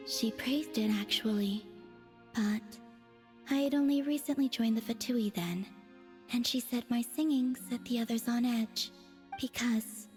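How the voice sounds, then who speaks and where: A woman playing a detached, quietly innocent young woman in a video game, wistful and understated, with pensive pauses. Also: on the high side, natural voice.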